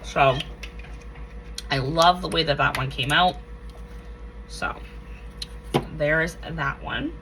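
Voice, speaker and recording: A woman's voice: low-pitched, slow pace, pauses often, noisy background. A woman recovering from a cold, reviewing wax scents in a casual vlog, gushing and repeating herself. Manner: gushing, enthusiastic, repeats phrases.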